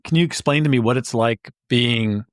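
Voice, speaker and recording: A man's voice: mid-range voice, moderate pace, dry recording, studio quality. A man hosting an interview podcast, upbeat and enthusiastic in a casual chat, often restarting his words. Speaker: podcast host.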